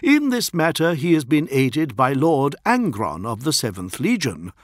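A man voicing a foppish, deferential imperial envoy in an audiobook, careful and uneasy, with smooth formal phrasing. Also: fairly high pitch, huge pitch swings, a little slow, dry recording, clean studio sound, voice pushed up. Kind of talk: dramatic audiobook character voice.

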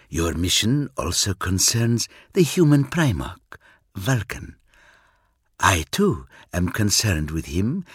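A man putting on a cold, cryptic alien seer who speaks low and hushed, slow and deliberate. His husky voice stays calm and superior, with lilting rises and falls. A dry audiobook read. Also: no echo, full character voice. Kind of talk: audiobook reading.

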